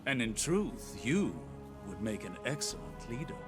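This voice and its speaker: A man playing a composed, learned warlock in a video game, speaking in a measured, reassuring and formal manner. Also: medium pitch, sing-song, over music. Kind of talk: video game character dialogue.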